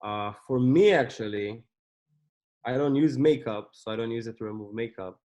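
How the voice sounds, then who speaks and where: A man, a company co-founder, explaining his business in a relaxed, casual interview. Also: medium pitch, moderate pace.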